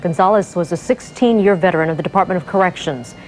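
Low-voiced woman news reporter. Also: quick pace, slight background noise, rough recording. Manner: even, scripted delivery.

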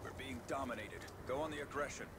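Casting: male actor as clipped, commanding army announcer for a shooter game, medium pitch, heard through heavy gunfire noise. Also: fast, natural voice. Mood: stern, urgent. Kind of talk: terse tactical game announcements. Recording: game audio under loud gunfire and vehicles.

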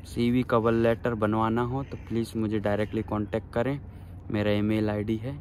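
A man's voice: medium pitch, a little hiss or hum. A man in his thirties filming a casual selfie vlog outdoors on his phone, earnestly encouraging viewers he calls friends. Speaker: vlogger giving job advice. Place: outdoors by a waterfront town, overcast. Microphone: phone at arm's length.

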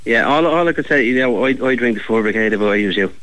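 A man's voice: medium pitch, fast, a bit bright. An Irish man chatting casually on a phone call, helpful and easily amused as he gives advice. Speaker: chimney sweep taking a phone call.

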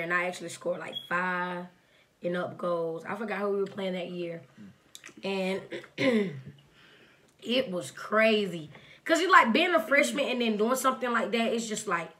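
A young woman with a raspy voice, a bit sick and clearing her throat, chatting casually at a table while eating. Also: mid-range voice. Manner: relaxed storytelling. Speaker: mukbang co-host. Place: indoor home studio.

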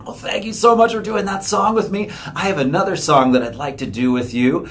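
A man's voice: high-pitched, fairly quick, noisy background. A middle-aged man leading a children's story time as a librarian, giving simple, encouraging sing-along instructions. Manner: encouraging, addressing small children. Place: small indoor room, seated at a table. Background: acoustic guitar he plays.

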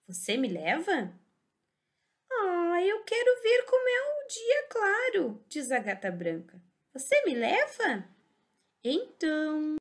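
A woman teacher giving a slow, animated storybook reading for small children, in a Brazilian Portuguese accent. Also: high-pitched. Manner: sing-song, exaggerated expressive reading. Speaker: teacher reading a picture book.

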